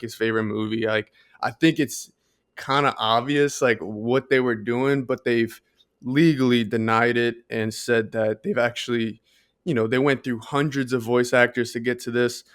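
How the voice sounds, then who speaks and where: A man's voice: mid-range voice, steady tone, normal speed, clear, resonant tone, dry recording, studio quality. A man co-hosting a podcast in a casual, conversational style, padding his thoughts with filler phrases. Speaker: podcast co-host. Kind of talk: casual chat.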